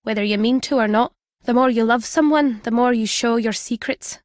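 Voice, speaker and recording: A woman's voice: fairly high pitch, moderate pace, dry recording, natural voice. An actress playing a wry, guarded older woman with a British accent, a former spy in a video game, dry and fond in manner. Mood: wistful, grave. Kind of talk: video game character dialogue.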